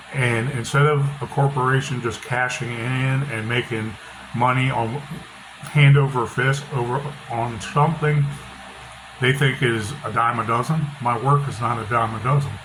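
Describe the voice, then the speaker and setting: A middle-aged author talking casually with a loud, bass-heavy voice, blunt and opinionated, often repeating himself. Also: male voice. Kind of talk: casual monologue. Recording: noisy background hiss.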